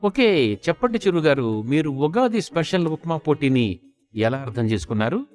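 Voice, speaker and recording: A man's voice: fairly high pitch, sing-song, normal speed, darkish tone. A man voicing a bubbly, teasing young woman radio jockey who chats in a gushing way, mixing in English words. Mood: bubbly, flattering. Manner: gushing, chatty, mixes English into Telugu.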